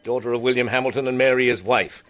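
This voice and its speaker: An actor playing an officious, gullible eighteenth-century magistrate, pompous and fussy, in a radio drama. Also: male voice, medium pitch, fairly even intonation, quick pace, fairly bright tone, lightly acted.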